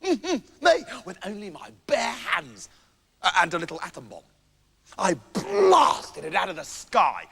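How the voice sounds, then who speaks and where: A man's voice: sits high, huge pitch swings, measured pace, vocal fry, fairly bright tone, big-room sound, full character voice. A man voicing a boastful, bumbling male hunter in a children's story, bragging in a blustering way.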